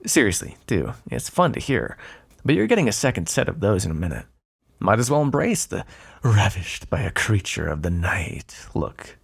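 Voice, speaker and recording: A man playing a smug, predatory vampire in an ASMR roleplay, taunting and flirtatious, with a gravelly, breathy voice. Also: fairly low pitch, big pitch swings, moderate pace, heavily acted. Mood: mocking banter. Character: predatory, teasing male vampire, smug and seductive.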